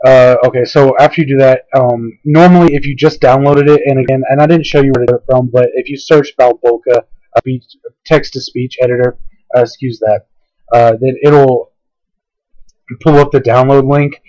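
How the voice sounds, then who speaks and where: A hoarse man casually talking through a software tutorial, rambling and hesitant with lots of fillers. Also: medium pitch, fairly even intonation, normal speed, dry recording, poor audio. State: hoarse, voice going out. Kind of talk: casual screen-recorded tutorial.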